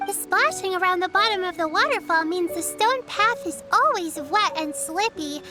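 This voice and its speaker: A woman voicing a well-mannered, caring little girl in a video game, earnest, formal and gently instructive. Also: very high voice, expressive, moderate pace, music in the background. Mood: gently scolding.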